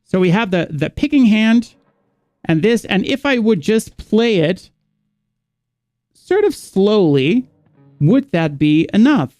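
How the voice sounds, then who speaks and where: A man's voice: high-pitched, very animated, takes it slowly, long pauses, darkish tone, no echo. A man from the Netherlands, teaching a live guitar lesson and rambling as he thinks aloud, stopping to restart his sentences.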